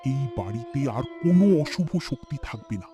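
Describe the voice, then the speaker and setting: A man's voice: mid-range voice, animated, normal speed, close to an everyday voice. A man playing a brave, earnest young village man in a cartoon horror story. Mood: resolute. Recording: music behind the voice.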